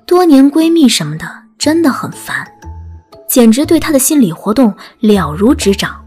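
A woman narrates an audiobook in a fairly high voice. Her intonation swings widely and expressively. Soft music plays under her reading. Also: very animated, normal speed, husky. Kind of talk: scripted story narration, audiobook. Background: background music.

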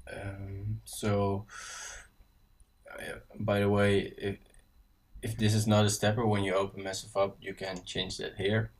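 A man with a Dutch accent talks through a software tutorial in a low, unhurried voice. He stops often with ums and restarts his sentences. He sits a little back from the mic, so the sound is slightly distant. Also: monotone. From Netherlands. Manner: casual, halting, many ums and restarts. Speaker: synth tutorial presenter. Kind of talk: screen-recorded software tutorial. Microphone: voice-over on screen recording.

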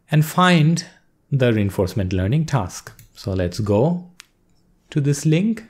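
Man explaining code over screen recording. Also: mid-range voice. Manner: steady explanatory pace, walks through steps. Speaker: course instructor. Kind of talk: screen-recorded coding lesson.

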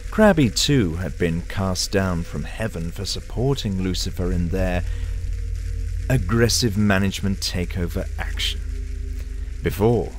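A male storyteller, narrates a comic tale with slow, theatrical grandeur. His delivery is measured and deadpan, with music behind it. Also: deep voice, poor audio. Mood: mock-epic. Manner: measured, dramatic.

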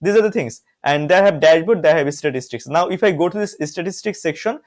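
Slightly high-voiced young man from Bangladesh. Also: a little fast, a little mellow. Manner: walks through steps, frequent "guys" and "see". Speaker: software reviewer. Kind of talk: screen-recorded software tutorial.

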